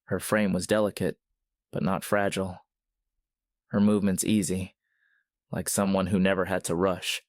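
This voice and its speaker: A man narrating a scripted story in a measured, literary manner. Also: low voice, little pitch movement, no echo, studio quality.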